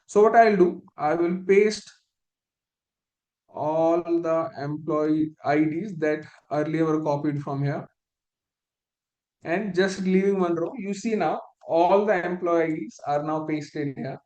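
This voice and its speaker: A man with a fairly high voice walks learners through a spreadsheet task in a live online class. He is patient and methodical, often correcting himself mid-sentence. His computer mic picks up a noticeably echoey room. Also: slow, darkish tone. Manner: step-by-step, patient, restarts phrases. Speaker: instructor.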